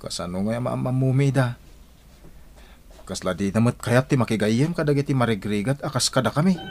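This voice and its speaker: An actor playing a poor, anxious village husband with a husky voice and a complaining manner in an Ilocano radio drama. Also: male voice, medium pitch, fairly quick, natural voice. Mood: grumbling. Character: poor village husband, anxious, grumbling about rich neighbors.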